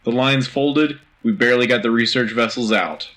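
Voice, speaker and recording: A man's voice: mid-range voice, normal speed, fairly bright tone, close to an everyday voice. A man playing an earnest, rousing war news anchor on a sci-fi radio show, with dramatic, punchy delivery. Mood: grave, urgent.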